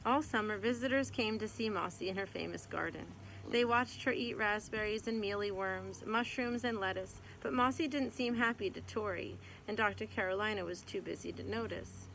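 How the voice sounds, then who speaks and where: A woman reads a picture book aloud in the manner of a teacher sharing a story with a class. Her pitch sits in the middle range for a woman. There is faint but noticeable noise behind her voice. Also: normal speed, fairly bright tone, poor audio. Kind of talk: picture book read-aloud, scripted narration. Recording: clear background noise.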